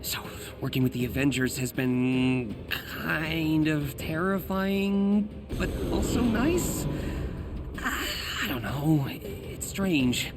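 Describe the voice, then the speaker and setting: Young American superhero, self-effacing, hesitant, wry, lively intonation, video game dialogue, noisy background. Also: male voice, on the high side, slow pace. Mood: shy, sincere. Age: young adult. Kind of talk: naturalistic video game voice acting.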